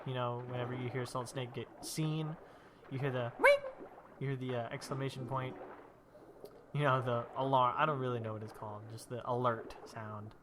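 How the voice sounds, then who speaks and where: A man's voice: mid-range voice, steady tone, moderate pace. Young guy kinda stuffed up from allergies, rambling on while he plays a game, bit of faint noise in the back. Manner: rambling, chatty storytelling while playing. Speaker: gameplay video host. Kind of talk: casual gameplay commentary.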